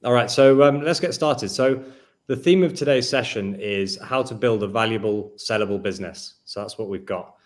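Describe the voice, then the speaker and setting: A man in his thirties hosting a business webinar over a video call, speaking in a measured way with frequent fillers. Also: on the low side. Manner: measured presenter, hedging with fillers. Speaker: webinar host.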